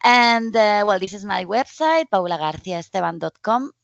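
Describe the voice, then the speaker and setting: A woman from Spain with a Spanish accent, giving a conference presentation in friendly, halting non-native English with frequent restarts. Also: medium pitch, animated, creaky, no room sound, dull audio. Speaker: conference speaker.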